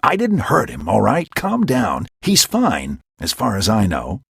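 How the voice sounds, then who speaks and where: Man voicing a lovesick young man, sulky, whiny, breathy, slow storybook read. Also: fairly low pitch, huge pitch swings, fairly bright tone, no echo, clean studio sound, full character voice.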